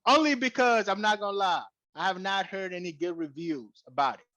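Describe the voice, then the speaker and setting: A man's voice: high voice, fairly quick, fairly bright tone, no room sound, lo-fi sound. A young man from Guinea raised in Atlanta, with a West African and Atlanta accent, chatting as an interview guest, hesitant with many uhs and easy laughs. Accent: West African mixed with Atlanta. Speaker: guest, YouTube creator. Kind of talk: casual interview chat. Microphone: headset on video call.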